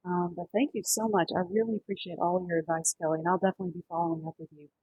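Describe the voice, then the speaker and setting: A woman with a fairly low, husky voice hosts an online session. She moderates politely and informally over a video call, with very little room sound around her. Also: rapid-fire, mellow, no room sound, dull audio. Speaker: host, career center session moderator.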